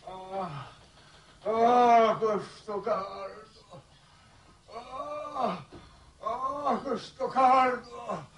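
A man's voice: very high-pitched, very slow, long pauses, fairly bright tone, slight background noise, exaggerated voice. An actor playing a suffering, overheated man near collapse in a 1960s Italian television drama, groaning with exhaustion. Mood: agonized. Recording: echoey, distant old TV soundtrack.

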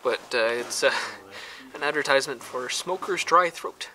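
A man's voice: medium pitch, normal speed. A man exploring an abandoned underground mine, talking casually and wryly, cautious and curious. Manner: relaxed, cautious, wry and curious. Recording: noisy mine tunnel recording.

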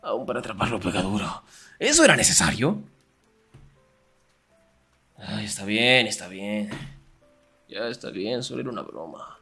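A man acting a young, sarcastic and jealous best friend in an ASMR roleplay, teasing in a breathy voice that often drops toward a whisper. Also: on the low side, sing-song, moderate pace, long pauses, pushed and bright, faint music behind, full character voice. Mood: resigned.

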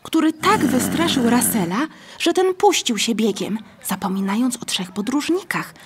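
A woman reading scripted narration for a children's story, with a husky, breathy voice. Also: on the high side, lively intonation, normal speed, noisy background.

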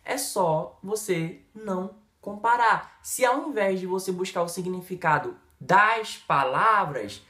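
Lively Brazilian man teaching on camera. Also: high voice, roomy sound. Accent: Brazilian Portuguese. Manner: lively, emphatic, talks straight to the viewer with many gestures. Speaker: English teacher. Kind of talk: energetic video lesson to camera. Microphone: camera in front, head and shoulders framing.

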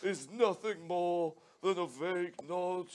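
A man voicing a jolly, pompous old Father Christmas in a live radio play reading. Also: takes it slowly. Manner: booming theatrical delivery with ho ho ho. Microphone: headset.

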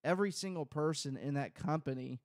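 A man's voice: a little high, fairly quick, a little mellow, no echo, studio quality. A man in his thirties co-hosting a podcast in casual chat, rambling with crude jokes and lots of fillers. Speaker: podcast co-host. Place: small home room. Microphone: close studio mic on boom, headphones.

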